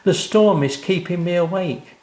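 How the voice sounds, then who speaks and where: A man voicing an eager, excitable young boy in a children's story. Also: high-pitched, normal speed, echoey room, voice pushed up, lightly acted. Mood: anxious, sleepless.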